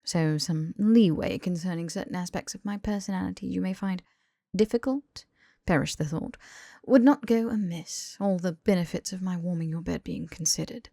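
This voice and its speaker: A woman's voice: on the low side, moderate pace, creaky, mellow, no echo, studio quality. A woman voicing a smug, posh young man who lectures his lover in long, pompous sentences for an audiobook. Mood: smug, lecturing. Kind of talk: audiobook character dialogue.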